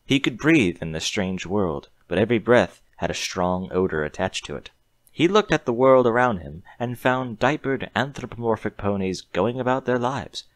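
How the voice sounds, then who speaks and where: A man's voice: fairly low pitch, animated, moderate pace, dry recording. A man reading a story aloud in a scripted audiobook narration style.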